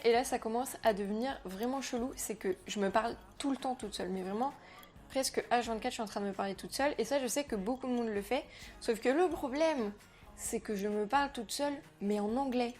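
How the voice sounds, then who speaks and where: A young woman, a French native speaker, chatting to camera in a slangy, animated way, talking about herself as a vlogger. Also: a little high, fairly quick. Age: young adult. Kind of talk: casual chat to camera.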